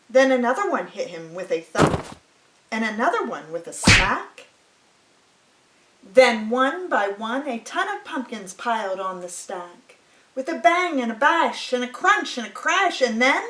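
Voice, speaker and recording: A woman reads a picture book aloud for children with very lively, swooping intonation. She takes her time, drawing out words in a dramatic storyteller's way. The room around her voice is clearly echoey. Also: on the high side.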